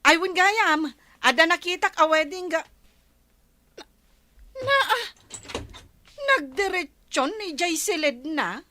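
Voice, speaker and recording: A woman's voice: very high-pitched, slow, pauses often, full character voice. A woman playing a bossy, protective older sister, chatty and nagging, with an Ilocano Filipino accent in a comedy radio drama. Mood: prying. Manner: animated, nagging, forceful.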